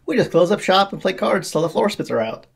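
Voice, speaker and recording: A woman voicing a gruff, brash, burly male shopkeeper in a story reading, with a rough husky voice and blustery manner. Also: lowish voice, very animated, a little fast, pitched below the performer's own voice, full character voice. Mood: dismissive, breezy.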